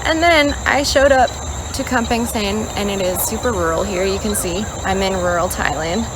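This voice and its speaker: A young woman in her twenties vlogging outdoors, telling a story in a chatty, animated way and acting out quotes. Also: mid-range voice, normal speed, heavy background noise. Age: 20s. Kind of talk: casual storytelling vlog. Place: outdoors in a rural area. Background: insects and crickets chirping.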